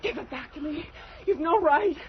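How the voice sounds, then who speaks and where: Defiant frightened wife, extremely high voice, pleading, childlike, old radio drama, muffled sound. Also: female voice, lively intonation, normal speed. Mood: terrified, pleading. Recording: muffled old radio broadcast, clear hiss.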